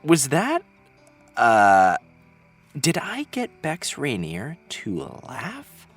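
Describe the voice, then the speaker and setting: A man's voice: mid-range voice, wildly swooping pitch, extremely slow, soft background music, exaggerated voice. A man playing a teasing, flirtatious vampire in a scripted drama, with wry, theatrical banter. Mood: soft flirtatious.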